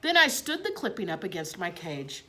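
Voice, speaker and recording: A woman reading a children's storybook aloud in expressive narration. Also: fairly low pitch, moderate pace.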